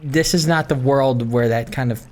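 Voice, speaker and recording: A gaming video creator chatting casually while playing, rambling in a hesitant, halting way and often trailing off. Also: mid-range voice. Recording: clearly noisy, with clicks.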